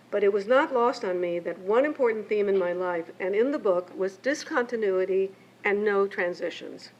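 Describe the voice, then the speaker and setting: An older woman, raised between Mexico and the United States, reads a prepared keynote speech in a measured, reflective way from her pages. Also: medium pitch. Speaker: keynote speaker and memoirist. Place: lecture event. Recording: muffled lo-fi with faint hiss.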